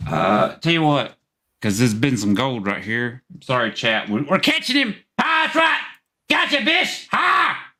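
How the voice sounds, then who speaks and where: A man hosting a live call-in stream, hoarse from losing his voice, who reacts to clips in an excitable, mocking way and swears freely. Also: mid-range voice, a little slow. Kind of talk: casual live stream commentary.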